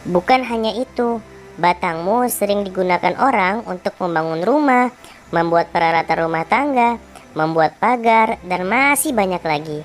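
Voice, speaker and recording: A man doing a gentle high rose flower. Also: lively intonation, normal speed. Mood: kindly explaining. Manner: falsetto, kindly and explaining. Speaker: teacher reading a fable.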